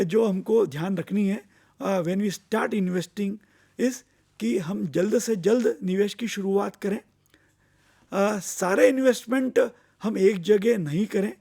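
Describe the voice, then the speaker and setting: High-pitched Indian man explaining, slightly creaky. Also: warm tone. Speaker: finance expert giving investor education. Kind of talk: explanatory lecture mixing Hindi and English.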